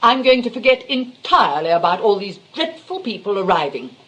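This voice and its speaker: A woman's voice: mid-range voice, sing-song, a little fast, pushed and bright, rough recording. A woman playing a vain, self-dramatising retired actress and mother in a stage comedy, with a British accent and a grand, histrionic manner. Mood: rapturous, grand. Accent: British English. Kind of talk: theatrical stage comedy acting.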